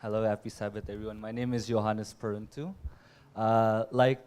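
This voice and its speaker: A man in his twenties who grew up in the United States, calmly introducing himself on a panel. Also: lowish voice, normal speed, smooth. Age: 20s. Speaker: guest.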